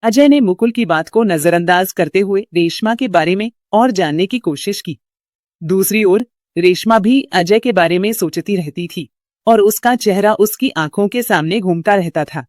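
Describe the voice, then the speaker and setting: Female storyteller, scripted narration, middle pitch, dry recording. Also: lively intonation, moderate pace, a little mellow, no room sound.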